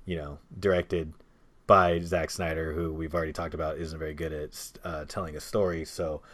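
Deep-voiced man hosting a casual podcast. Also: flat delivery, moderate pace, a bit bright. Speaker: podcast host. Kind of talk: casual movie discussion podcast.

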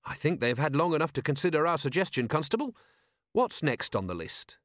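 Man doing an unflappable, polite police captain, lifted pitch. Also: big pitch swings, brisk, dry recording, clean studio sound. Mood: brisk. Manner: very polite, level, earnest. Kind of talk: audiobook character voice.

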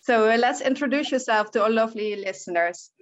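A woman's voice: a little high, fairly quick, muffled recording. A woman hosting a casual podcast chat, friendly, with some fillers and hesitations. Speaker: podcast host.

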